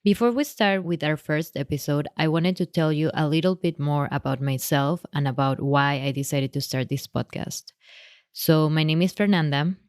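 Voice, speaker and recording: A young woman from Mexico with a Mexican Spanish accent, hosting a podcast and interviewing a guest, speaking conversationally and sometimes halting over her questions. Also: sits low, darkish tone, clean tone, dry recording, studio quality. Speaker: podcast host.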